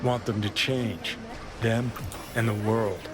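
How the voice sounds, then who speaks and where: A man's voice: fairly low pitch, fairly even intonation, takes it slowly. Sardonic, rebellious rockstar man. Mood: bitter, preaching. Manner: sardonic, drawling. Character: cynical, rebellious dead rockstar, bitter and mocking. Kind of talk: cinematic game acting.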